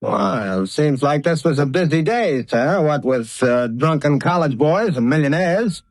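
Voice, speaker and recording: An actor playing a folksy, respectful police sergeant, hesitant and colloquial, in 1930s radio style on a vintage recording. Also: male voice, fairly high pitch, animated, a little slow, dry recording, clean studio sound. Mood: deferential.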